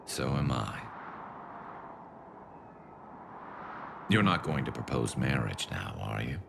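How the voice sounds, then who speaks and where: Very deep, gravelly alien soldier. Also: male voice, sing-song, normal speed, noisy background, heavily acted. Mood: wry, fond. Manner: dry, teasing banter. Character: cocky, wry male Turian alien soldier and loyal friend. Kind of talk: video game voice acting.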